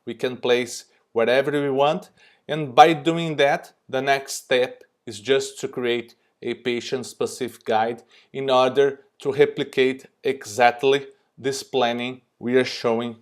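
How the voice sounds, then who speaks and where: Brazilian man giving a halting talk. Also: medium pitch, steady tone. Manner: non-native, halting with frequent fillers. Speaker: surgeon presenting. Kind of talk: recorded conference lecture. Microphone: remote recorded presentation.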